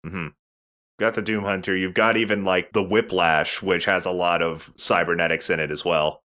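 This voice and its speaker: Male gaming host, conversational and agreeable, lowish pitch with a bright edge, commentating casually. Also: fairly even intonation, normal speed. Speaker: let's play host.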